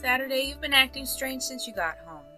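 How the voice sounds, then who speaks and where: A woman acts out a concerned, supportive mother in an audio drama. Her voice is bright and slightly high, and some music plays behind her. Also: expressive, fairly quick, exaggerated voice.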